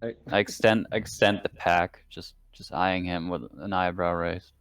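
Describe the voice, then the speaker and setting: A man playing a tabletop roleplaying game, chatting casually and narrating his character in a creaky voice. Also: deep voice, fairly even intonation, unhurried, a bit bright. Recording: faint background noise.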